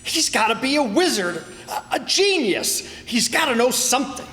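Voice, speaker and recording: A man acts out an exasperated police inspector in a radio crime play. The voice sits high for a man and swings widely, full of bluster and sarcasm, and it rings through an echoey hall. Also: very animated, normal speed, sharp tone, exaggerated voice. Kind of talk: old-time radio crime drama acting. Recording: echoey hall stage performance.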